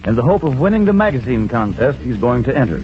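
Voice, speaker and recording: A man's voice: mid-range voice, very animated, fast talker, very noisy. A man narrating as announcer in a 1940s radio style. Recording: dull vintage radio recording, loud music.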